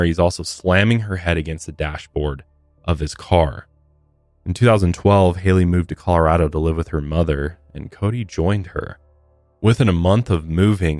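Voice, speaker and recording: Male American podcast host, deep register, scripted crime storytelling with frank casual asides, tight dry studio sound. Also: no room sound. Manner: blunt, profane commentary. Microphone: close studio podcast microphone. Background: faint music.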